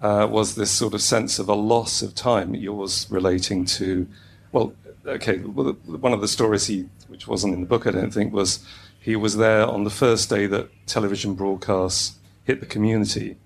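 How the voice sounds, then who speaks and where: A man's voice: on the low side, fairly even intonation, moderate pace, gravelly, hardly any pauses, slight background noise. A man in an audience asking a rambling, conversational question in a hesitant, discursive way.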